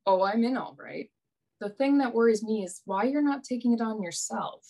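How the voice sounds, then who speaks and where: A woman's voice: fairly high pitch, normal speed, vocal fry, dark tone. A woman playing a brash, wry young male adventurer in a radio play, with a dry, teasing manner. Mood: wry, skeptical.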